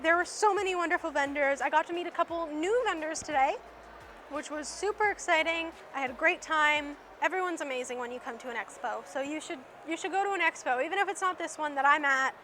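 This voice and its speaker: A woman's voice: high voice, fairly quick, sharp tone, slight background noise. A woman from Canada hosting a reptile vlog, chatting upbeat and enthusiastic with vendors at an expo while losing her voice. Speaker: reptile vlogger and host. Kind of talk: casual vlog interviewing. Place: indoor reptile expo hall.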